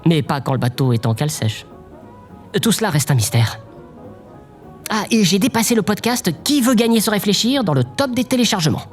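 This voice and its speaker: A woman playing an awkward, deferential, oddly intense assistant with halting delivery in a comedic audio drama. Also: medium pitch, sing-song, faint music behind, close to an everyday voice. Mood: matter-of-fact. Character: awkward, morbid, servile presidential assistant Babylone, oddly intense.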